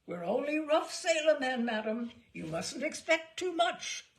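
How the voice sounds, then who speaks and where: A woman voicing a jovial, rough male ship's captain with a hearty, loud manner in a story reading. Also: high-pitched, very animated, unhurried, voice pushed up, heavily acted.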